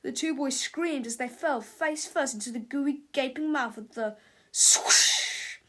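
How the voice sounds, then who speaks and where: A woman narrating a children's book as an expressive read-aloud. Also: high-pitched, a little slow. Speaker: storybook narrator.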